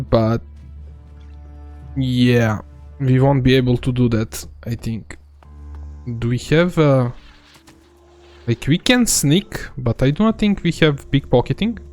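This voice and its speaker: Young Serbian man streaming a game, easygoing, fillers, faint music under. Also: medium pitch. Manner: relaxed, rambling, many fillers. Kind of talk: live gameplay commentary.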